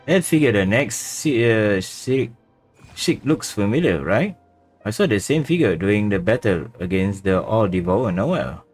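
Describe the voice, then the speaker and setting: A thoughtful, curious male companion in a video game. The voice is fairly low and everyday, pondering earnestly. Faint music sits behind it. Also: lively intonation, normal speed, a little mellow.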